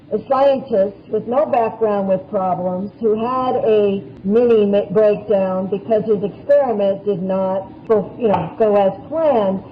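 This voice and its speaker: A woman guest chatting casually on a panel in an anecdotal, storytelling way. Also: mid-range voice. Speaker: podcast guest. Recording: muffled lo-fi audio, faint background noise.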